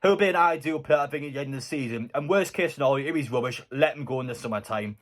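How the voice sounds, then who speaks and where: Guy doing casual football news chat, fan youtuber type, opinionated, normal mid pitched voice. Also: quick pace. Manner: conversational, opinionated.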